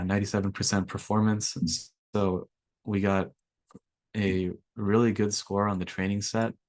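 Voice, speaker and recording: A young man, slightly raspy from allergies, walking through a coding workshop in a relaxed way with lots of ums. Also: deep voice, no echo. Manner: relaxed, filler-heavy explaining. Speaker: workshop instructor. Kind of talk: screen-share coding tutorial.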